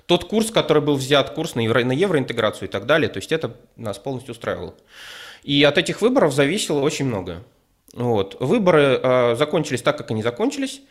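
A man talks through his story as a guest. He hesitates often, fills pauses, restarts phrases and picks his words with care. His pitch sits in a middle range. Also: sing-song. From Ukraine. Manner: hesitant, with many fillers and restarts, careful wording. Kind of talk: casual conversational storytelling.

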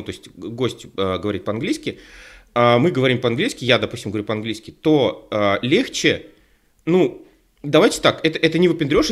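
Rambling man chatting on a panel. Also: medium pitch. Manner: rambling, many fillers and restarts. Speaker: co-host of an online show. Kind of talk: casual panel discussion.

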